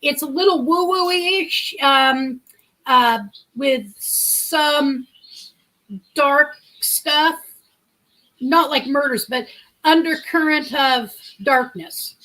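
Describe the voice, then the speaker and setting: A woman's voice: high voice, very slow, sharp tone, rough recording. A middle-aged woman hosting a livestream, chatting in a rambling way, telling a story and answering comments from her chat. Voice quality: big voice. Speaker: livestream host. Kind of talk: casual live-chat storytelling.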